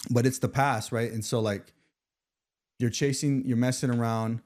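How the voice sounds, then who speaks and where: A man's voice: mid-range voice, fairly even intonation, normal speed, a little mellow. Male podcast host from Arizona, mid-range voice, loose rambling delivery, jokey interviewer. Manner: rambling, filler-heavy, loose and joking. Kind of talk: casual interview chat.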